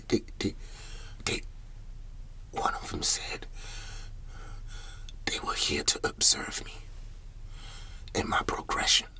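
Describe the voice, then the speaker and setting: Man playing a whispering, rambling country farmer. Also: sharp tone. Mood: shaken, bewildered. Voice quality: breathy whisper. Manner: halting, repeats words. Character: rambling, shaken humble country farmer, fearful and defiant. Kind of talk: ASMR roleplay monologue.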